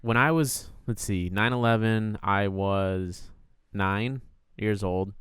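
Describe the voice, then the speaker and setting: A young man in his twenties from the United States co-hosts a casual film review. His low voice is strikingly clear and sits dry and close. He wanders through personal stories, circling back and restarting his sentences. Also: takes it slowly, a bit bright, no echo. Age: 20s. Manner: rambling personal anecdotes with restarts. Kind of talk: casual chat.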